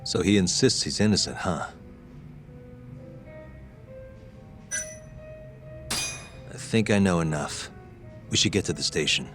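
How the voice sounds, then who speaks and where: A man's voice: low-pitched, a little fast, music in the background, lightly acted. A man acting as a laid-back, dry-witted private detective in a video game, talking casually in few words. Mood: probing, businesslike. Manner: casual, laconic, understated. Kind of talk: English game dub dialogue.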